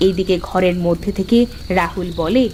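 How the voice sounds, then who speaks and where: A woman narrating a scripted fairy tale for an animated cartoon. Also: lowish voice, moderate pace, slight background noise.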